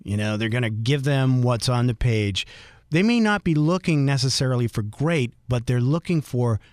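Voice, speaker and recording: A man with a baritone voice hosting a podcast interview, asking polite, thoughtful, winding questions. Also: animated, moderate pace, a little mellow, no room sound, clean studio sound. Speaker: podcast host.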